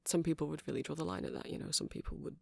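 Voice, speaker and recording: A man's voice: fairly high pitch, steady tone, very fast, gravelly, darkish tone, breathy edge, no room sound, clean studio sound, heavily acted. A man playing a shy, earnest, nerdy vampire in an ASMR roleplay, speaking in a hesitant, rambling, stammering way. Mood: earnest, defensive. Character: shy, earnest, nerdy male vampire running a music shop.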